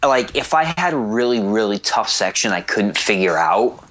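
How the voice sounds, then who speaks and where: A man's voice: fairly low pitch, expressive, moderate pace, fairly bright tone. A man chatting casually as a radio show commentator, slangy and given to jokey exaggeration. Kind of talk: casual chat.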